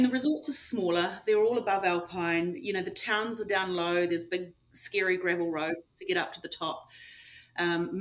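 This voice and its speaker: A middle-aged woman with a New Zealand accent, a ski resort manager chatting in an interview, often pausing with um and you know. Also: fairly low pitch. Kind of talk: casual interview answers.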